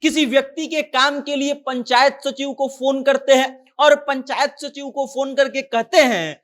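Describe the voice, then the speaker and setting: High-pitched news commentator. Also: fairly even intonation, normal speed, a bit bright. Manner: conversational retelling, acting out quoted dialogue. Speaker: news presenter. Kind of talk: informal news commentary recounting a viral story.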